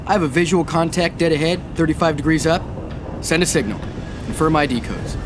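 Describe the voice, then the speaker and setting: A man's voice: a little high, a little fast, noisy background, close to an everyday voice. A man playing a confident, wry American navy commander who narrates his war in a video game. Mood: urgent. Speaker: narrator and protagonist. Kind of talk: scripted game narration and dialogue. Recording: music behind voice.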